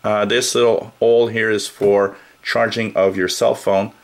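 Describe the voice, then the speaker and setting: A man giving a casual hands-on gadget review, explaining things plainly and haltingly as the reviewer. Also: fairly low pitch, creaky. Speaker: product reviewer. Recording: noisy home recording, steady background noise.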